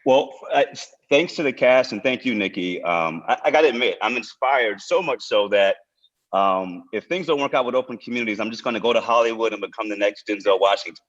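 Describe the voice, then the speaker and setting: A man's voice: on the low side, fairly quick, fairly bright tone. A man speaking as a nonprofit director, giving informal prepared remarks to an online panel over a video call. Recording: dull, lo-fi video call audio.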